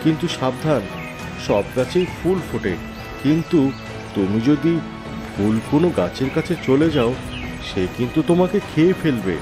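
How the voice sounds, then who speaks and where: A man's voice: medium pitch, lively intonation, normal speed, pauses often, lightly acted. A man playing a friendly talking lotus flower, a cursed human, speaking familiarly and earnestly in a cartoon fable. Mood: explaining, cautioning. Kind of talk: Bengali cartoon fable voice acting. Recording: loud music under the voice. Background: music.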